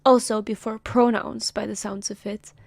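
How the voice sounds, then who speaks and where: A woman's voice: mid-range voice, level tone, moderate pace, gravelly, a little mellow, dry recording. A woman voicing a boastful, wisecracking male sky god while reading a comic aloud on a stream. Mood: cocky. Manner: cocky, wisecracking.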